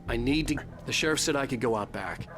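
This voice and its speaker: Weary male protagonist played by an actor, subdued, average pitch, music underneath. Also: quick pace, natural voice. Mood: shaken. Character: weary, troubled male writer, game protagonist. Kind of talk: dialogue and first-person narration.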